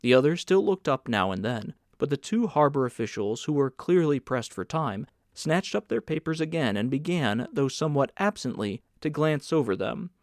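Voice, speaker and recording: A man's voice: mid-range voice, sing-song, normal speed, hardly any pauses, dry recording. Man narrating an audiobook, dry sound. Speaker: audiobook reader. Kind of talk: scripted literary narration.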